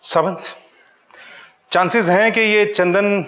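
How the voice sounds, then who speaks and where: An actor playing a stern senior police inspector in a TV crime drama, giving curt commands with authority. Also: male voice, high voice, fairly even intonation, slow pace, exaggerated voice. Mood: menacing. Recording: loud dramatic background music.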